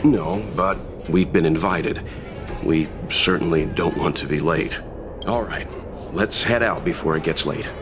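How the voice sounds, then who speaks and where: A calm, gentlemanly warrior from a video game. His voice is very low, and he speaks formally and with measured courtesy over clear background music. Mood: reflective, calm.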